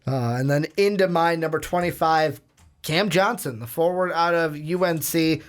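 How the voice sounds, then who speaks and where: A man's voice: fairly high pitch, moderate pace, soft background music. A man hosting a casual sports discussion in a chatty, joking way. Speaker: sports show host.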